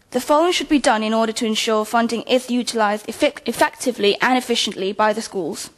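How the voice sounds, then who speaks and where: A young woman from Hong Kong, a university student, giving measured, formal testimony at a committee hearing. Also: fairly high pitch. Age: young adult. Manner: measured, formal, reads from notes. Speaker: student deputation speaker. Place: legislative committee meeting room.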